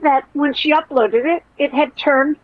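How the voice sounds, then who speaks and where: A woman hosting a podcast in casual commentary chat, with a nasal, whiny voice and a blunt, profane, animated way of talking. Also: high voice, moderate pace, no echo, narrow, tinny audio. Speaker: podcast host.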